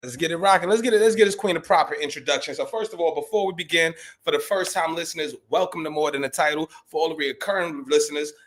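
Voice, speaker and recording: A man hosting a podcast, hyping up his guest with loose, slangy energy. His voice sits a little high for a man, and he keeps calling out to the listeners. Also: quick pace, few pauses. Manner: energetic, slangy, addresses "y'all". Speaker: podcast host. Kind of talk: casual hype introduction.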